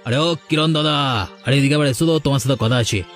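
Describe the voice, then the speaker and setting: A man plays a jealous village idler from a cartoon, always scheming. His voice is medium in pitch, and he grumbles and blusters in everyday colloquial speech. Faint music plays underneath. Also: quick pace, close to an everyday voice. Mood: nosy, grumbling. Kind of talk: cartoon dialogue.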